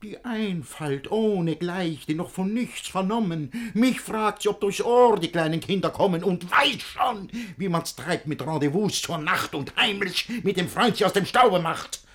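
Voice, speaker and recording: An actor playing a jealous, possessive older guardian in a classic radio play, declaiming verse theatrically. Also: male voice, high voice, lively intonation, unhurried, few pauses, a bit bright, exaggerated voice. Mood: outraged.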